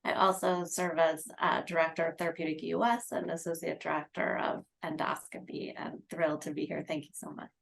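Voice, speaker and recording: Creaky, slightly low-voiced woman. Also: steady tone, brisk, few pauses. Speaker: panelist. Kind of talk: professional self-introduction.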